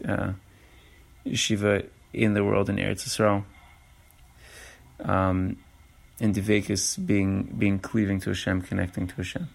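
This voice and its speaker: A man speaks alone into a podcast mic in a low, gravelly voice. He thinks aloud and backs up often to restart his sentences. He talks in a reflective, unhurried way. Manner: halting, many restarts and fillers. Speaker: podcast host. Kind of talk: reflective solo podcast talk.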